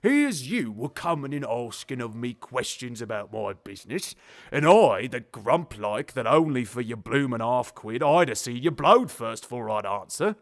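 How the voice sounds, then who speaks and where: An actor playing a grumpy but genial elderly zookeeper, telling things bluntly in rambling colloquial speech for an audio drama. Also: male voice, mid-range voice, sing-song, normal speed. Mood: gruff, philosophical. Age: older. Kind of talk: audio drama character acting.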